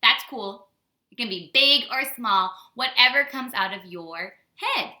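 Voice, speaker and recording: An American woman, a teacher, giving a casual storytime lesson to young children in an animated way with simple wording and rhetorical questions. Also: fairly high pitch, unhurried. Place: indoors at home. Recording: roomy, echoey indoor home recording.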